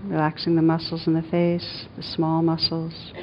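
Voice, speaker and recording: Low-voiced woman guiding a meditation. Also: monotone. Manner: gentle, soothing, unhurried with long pauses. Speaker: meditation teacher. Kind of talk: guided meditation. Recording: dull lo-fi sound, rumbling background noise.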